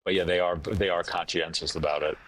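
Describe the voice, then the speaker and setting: A middle-aged man chatting casually as an interview guest, agreeable and somewhat halting. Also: sits low, steady tone, fairly bright tone, slight background noise. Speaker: podcast guest, finance professional. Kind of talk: casual conversation.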